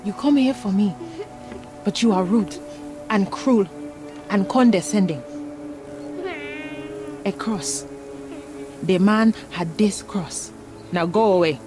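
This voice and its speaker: A woman's voice: medium pitch, slow pace, long pauses, close to an everyday voice. An actress playing a hurt, defiant young refugee woman in a game, blunt and guarded. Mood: bitter, defiant. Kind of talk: dramatic game cutscene dialogue. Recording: music behind the voice.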